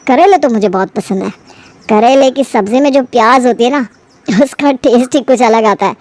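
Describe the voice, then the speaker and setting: A woman's voice: a little high, normal speed, a little mellow, no room sound, natural voice. A woman voicing a sensible adult elder brother in a cartoon family story, reasoning and mildly chiding. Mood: approving. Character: adult elder brother, sensible husband defending his wife's healthy cooking.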